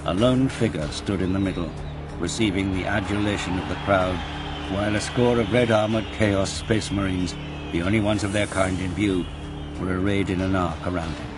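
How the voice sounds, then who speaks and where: A man's voice: low voice, steady tone, normal speed. A man giving dramatic scripted narration for an audio drama. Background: music.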